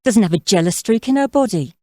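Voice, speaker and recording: A woman's voice: mid-range voice, expressive, fast, warm tone, no room sound, studio quality, close to an everyday voice. A woman playing a flirtatious, scheming mother-in-law in her thirties in an audio drama, coy and self-assured. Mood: persuasive, assured. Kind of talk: audio drama character acting.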